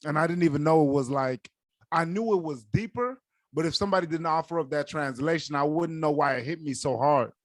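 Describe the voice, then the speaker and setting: A man's voice: a little high, a little fast, no echo, studio quality. A young man whose first language is Yoruba, co-hosting a podcast in casual, earnest chat and often leaning on "like". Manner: earnest, leans on "like" as a filler. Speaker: podcast co-host.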